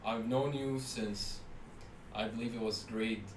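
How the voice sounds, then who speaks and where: A young man from Iraq chatting casually as a podcast guest, animated and laughing as he tells stories. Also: on the low side, a little slow, a loud crowd. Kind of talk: casual chat. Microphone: set too far from the mic at times. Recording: roomy, with faint ambient noise.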